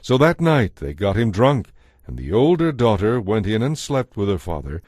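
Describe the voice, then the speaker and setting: A man narrates a dramatized Bible story from a script. His voice sits on the low side, and the recording is dry with no room sound. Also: big pitch swings, moderate pace, a little mellow, dry recording.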